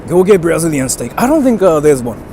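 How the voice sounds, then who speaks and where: A man's voice: on the high side, very animated, a little fast, warm tone. Chatty young man streaming from the street. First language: bilingual English and Japanese. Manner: chatty, rambling, reads out viewer comments. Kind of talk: casual live-stream chat. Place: outdoors on a city street. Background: faint street and traffic noise.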